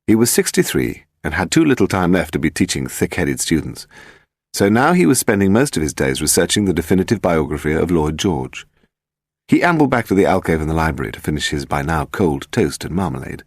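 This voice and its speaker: A British man narrates fiction in a low voice with plenty of melodic movement. The reading is scripted storytelling, recorded close and dry. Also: big pitch swings, a little fast, dry recording. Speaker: audiobook narrator.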